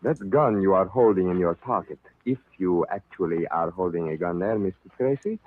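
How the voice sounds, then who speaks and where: Suave, menacing cult high priest, tinny radio. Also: male voice. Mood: suave, explanatory. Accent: put-on foreign. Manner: formal, courteous, deliberate, theatrical. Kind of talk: vintage radio serial acting. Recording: tinny, band-limited old radio recording.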